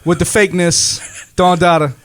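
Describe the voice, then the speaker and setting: A man hosting a casual faith podcast, laid-back and quick to laugh as he leads the topic. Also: sits high, steady tone, a bit bright, dry recording. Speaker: podcast host.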